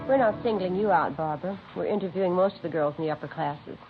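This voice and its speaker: A brisk policewoman, played by an actress in a vintage radio crime drama. The voice is quick and creaky, probing in a reassuring, businesslike way. The sound is thin and narrow, like old radio. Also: lowish voice, music in the background, natural voice. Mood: calm, probing. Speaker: actor playing a policewoman. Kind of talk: 1950s radio drama acting.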